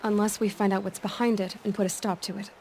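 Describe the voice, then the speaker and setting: A woman acts out a young huntress heroine in a game drama, determined and self-reliant. She speaks in short, cool, assured phrases at a medium pitch, over faint background noise. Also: little pitch movement, fast talker, a little mellow, close to an everyday voice. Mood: questioning, intent. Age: young adult. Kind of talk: video game dramatic acting. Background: faint ambient noise.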